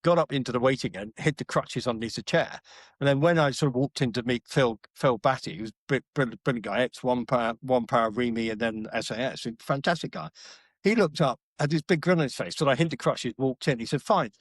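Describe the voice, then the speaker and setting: Blunt ex-soldier, very dry sound. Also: male voice, medium pitch, brisk, dry recording. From Britain. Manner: blunt, frequent restarts and fillers, salty slang. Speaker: podcast guest. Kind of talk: casual storytelling interview.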